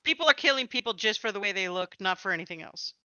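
Bright, clear-voiced woman hosting an interview. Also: medium pitch, lively intonation, quick pace, no echo, highs cut off. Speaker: podcast host interviewing. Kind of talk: conversational interview.

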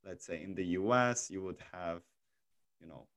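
A man's voice: low voice, moderate pace, fairly bright tone, no echo, studio quality. A man hosting a casual chat, asking curious questions with frequent stammered restarts. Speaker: host.

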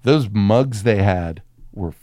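A man's voice: lowish voice, animated, takes it slowly, warm tone, no echo. A man co-hosting a film podcast, chatting casually with profane, joking banter.

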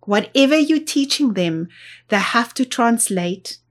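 A woman's voice: a little high, animated, unhurried. Woman. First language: Afrikaans. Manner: explanatory, thinks aloud with restarts. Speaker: guest, associate professor. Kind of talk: interview.

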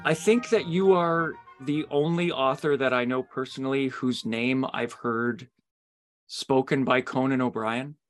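A man's voice: medium pitch, steady tone, measured pace, soft background music. A man speaking as the host of a podcast about writers and books. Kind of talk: scripted podcast intro and interview chat.

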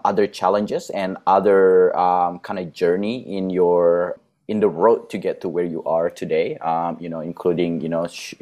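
Low-voiced male podcast host, casual, filler-heavy questions. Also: darkish tone. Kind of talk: casual interview hosting.

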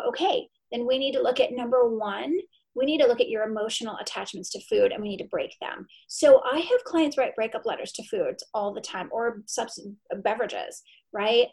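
A woman's voice: medium pitch, creaky, a little mellow, rough recording. A woman in her forties, a therapist and nutritionist, talking energetically and emphatically as a podcast guest. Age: 40s. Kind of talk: podcast interview.

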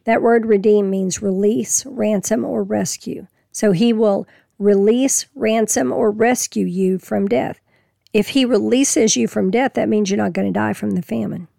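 Woman, Bible teaching, gravelly fry, dry close sound, hoarse, folksy. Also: medium pitch, steady tone, dark tone, no echo. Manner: folksy, reads scripture slowly then explains plainly. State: losing her voice. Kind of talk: casual Bible teaching with scripture reading.

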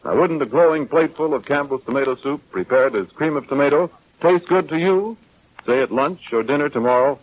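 A man announcing and pitching the sponsor in polished, courteous 1940s radio style. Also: brisk. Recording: muffled vintage 1940s radio broadcast.

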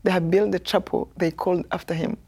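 A woman from Rwanda in her fifties with a Rwandan accent, telling her life story as a guest in an earnest, soft-spoken way. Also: fairly low pitch, fairly even intonation, brisk, breathy edge. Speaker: guest, author.

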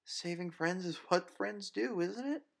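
A sweet, loyal young woman from an audio story, played by a male reader. The pitch is lifted well above his own, and the delivery is polite, hesitant and stammering, nervous yet brave. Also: measured pace, creaky, heavily acted. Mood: tender. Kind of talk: audio story character dialogue.

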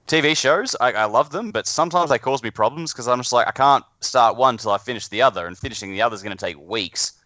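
A man's voice: medium pitch, quick pace, hardly any pauses, bright, forceful tone, no room sound. A man chatting casually, often correcting himself mid-sentence and swearing now and then. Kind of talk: casual chat.

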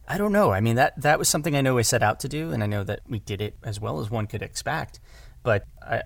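Guy chatting in an interview, deep-ish creaky voice, very dry recording, kinda rambles and rewords stuff. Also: no room sound. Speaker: audio drama director, podcast guest. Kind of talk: casual interview conversation.

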